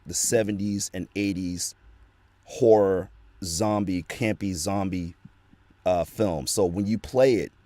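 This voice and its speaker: A man's voice: sits low, mellow, no room sound. A man talking casually as a guest, rambling with many fillers while describing a game he voiced. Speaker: guest, voice actor. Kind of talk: casual chat.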